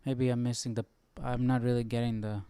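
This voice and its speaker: A man hosting a Bible study, casually thinking aloud as he reads, haltingly asking himself questions. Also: medium pitch, little pitch movement, mellow, smooth, no room sound. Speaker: host of a live Bible study.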